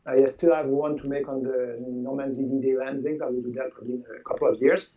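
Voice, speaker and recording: A man in his sixties whose first language is French speaks in a relaxed, halting way, often repeating words as he talks about his work. His voice is mellow and dark. It sounds dull and lo-fi, as if he sits some way back from the mic on a video call. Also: mid-range voice, far from the mic. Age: 60s. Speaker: game designer guest. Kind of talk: casual interview chat.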